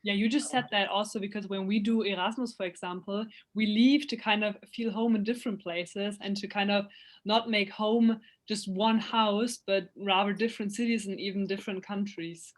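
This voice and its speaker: A woman's voice: medium pitch, fairly even intonation, moderate pace. A young woman presenting an online event, friendly, with many fillers and restarts.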